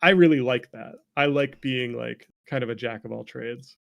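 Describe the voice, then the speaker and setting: Creaky-voiced man chatting candidly. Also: mid-range voice, moderate pace. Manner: candid, animated, laughs easily. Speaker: game developer guest. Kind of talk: casual conversation.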